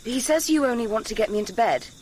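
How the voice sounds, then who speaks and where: A woman's voice: a little high, expressive, darkish tone, a little hiss or hum, natural voice. An actress playing a confused, hurt and defiant young woman in a radio drama, emotional and earnest. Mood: guarded, confused. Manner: emotional, earnest, quick to flare.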